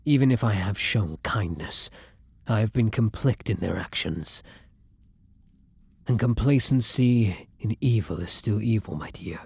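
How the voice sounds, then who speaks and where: Man acting as tormented gentle vampire, very husky breathy murmur, lowish pitch, formal old-fashioned wording, protective, intimate ASMR roleplay. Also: expressive, measured pace, no echo, heavily acted. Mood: bitter, resolute. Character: kind, tormented male vampire, tender and protective lover.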